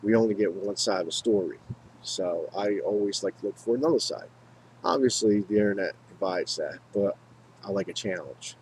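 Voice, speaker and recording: A man with an American accent and a fairly low voice speaks off the cuff, like a hobbyist chatting to viewers. His delivery halts and rambles, circling back over his own words. Clear background noise sits behind him. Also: animated, darkish tone, rough recording. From United States. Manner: halting, rambling, repeats himself. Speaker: hobbyist video maker. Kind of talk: casual unscripted talk to viewers.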